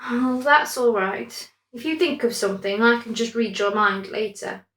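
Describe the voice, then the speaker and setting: A quick-thinking female prawn in a children's bedtime tale voiced by a woman reader. She is bossy but affectionate, with an urgent, expressive delivery. Her voice sits a bit above normal talk in a roomy space. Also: on the high side.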